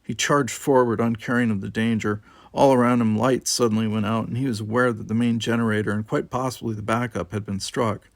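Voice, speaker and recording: A man's voice: medium pitch, steady tone, a little fast, gravelly, dry recording, clean studio sound. A man reading an adventure novel aloud as dramatic, scripted narration. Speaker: audiobook reader.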